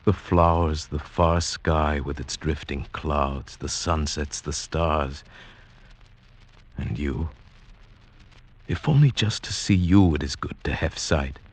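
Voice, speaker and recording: An actor in a 1940s radio drama playing a weary young traveller who tells his story in a slow, wistful, reflective way. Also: a bass voice, slightly rough, heavily acted. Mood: longing. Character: weary young traveller going blind, awed by beauty.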